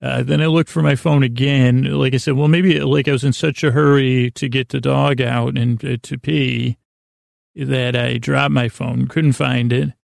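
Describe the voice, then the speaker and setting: A man hosting a bedtime podcast in a gravelly voice, meandering through digressions and interrupting himself as he goes. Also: mid-range voice, flat delivery, measured pace, dry recording, studio quality. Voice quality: gravelly, creaky. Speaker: podcast host. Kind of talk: rambling bedtime monologue.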